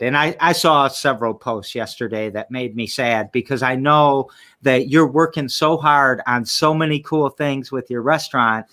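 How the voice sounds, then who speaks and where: A man's voice: medium pitch, pushed and bright. A man hosting a casual interview, asking friendly, encouraging questions. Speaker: interview host.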